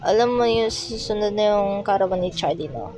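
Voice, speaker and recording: A woman voicing a sly, scheming adult man in an amateur fan dub, talking in a smooth, coaxing, conspiratorial way. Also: mid-range voice, moderate pace, lightly acted. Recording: music playing underneath.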